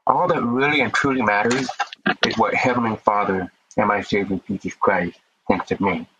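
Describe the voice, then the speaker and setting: A man's voice: fairly low pitch, steady tone, normal speed, a bit bright, dull audio, slight background noise. A man with a deaf accent, a podcast guest speaking earnestly about his life, sometimes repeating words as he starts. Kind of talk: casual interview talk.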